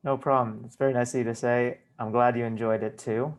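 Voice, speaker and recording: A man hosting a podcast in a casual interview, polite and measured as he thanks his guest. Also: mid-range voice, level tone. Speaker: podcast host.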